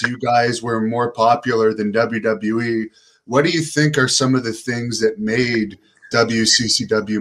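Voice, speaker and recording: A man's voice: mid-range voice, a bit bright. A man hosting an interview, agreeing with his guest and reading out fan questions. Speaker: interviewer, show host.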